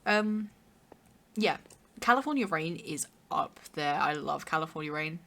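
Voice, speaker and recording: A young woman with a hoarse, raspy voice, chatting casually and rambling while streaming a game. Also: deep voice, animated, measured pace, fairly bright tone. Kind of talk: casual chat while gaming.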